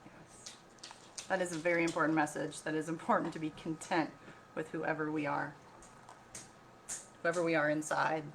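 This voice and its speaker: A woman reading a picture book aloud like a teacher, pausing to explain, ask questions and repeat key points. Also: deep voice, a bit bright, slight background noise. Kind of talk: read-aloud storytelling with explanatory asides.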